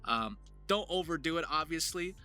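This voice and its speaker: A man presenting a voice acting tutorial to viewers in an animated, enthusiastic explaining manner. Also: a little high, normal speed. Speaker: voice actor, writer and video presenter. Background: music.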